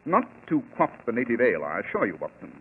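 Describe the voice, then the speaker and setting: An actor playing a keen, commanding gentleman detective in a radio drama, with an English accent and precise, formal, theatrical diction. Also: medium pitch, huge pitch swings, fast talker, husky, exaggerated voice. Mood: brisk, self-assured. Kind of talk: 1940s radio acting. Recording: crackly, narrow-band old radio recording.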